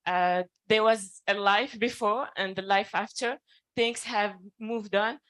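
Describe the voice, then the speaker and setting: A woman moderating a panel, briskly calling on speakers and posing questions. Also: mid-range voice, steady tone, a bit bright. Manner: brisk, prompting speakers by name.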